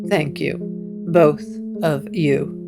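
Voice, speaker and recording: Anxious remorseful father, early fifties, voiced by a female narrator: slow, subdued, halting, over a clear music bed. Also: deep voice, fairly even intonation, pitched below the performer's own voice, full character voice. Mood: quietly grateful. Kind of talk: gothic audio drama acting.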